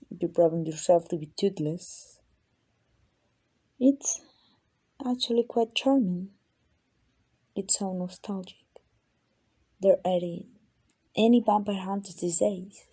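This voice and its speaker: A woman voicing an ancient, arrogant, possessive female vampire in an ASMR roleplay, condescending and teasing, with a breathy voice that dips into whispers. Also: medium pitch, a little mellow. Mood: amused, nostalgic. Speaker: amateur voice actor.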